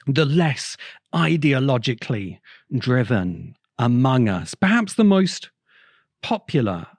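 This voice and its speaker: Man, unhurried pace, mid-range pitch, erudite, deliberate pauses, reflective lecture style, dry close sound. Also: big pitch swings, no room sound. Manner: deliberate, pausing between phrases, erudite. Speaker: host of a science fiction essay show. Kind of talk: reflective video-essay lecture.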